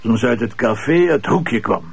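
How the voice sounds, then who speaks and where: Police commissioner, Flemish accent, gravelly, husky, dry sardonic manner, close dry radio sound. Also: male voice, lowish voice, moderate pace, dry recording, exaggerated voice. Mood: probing.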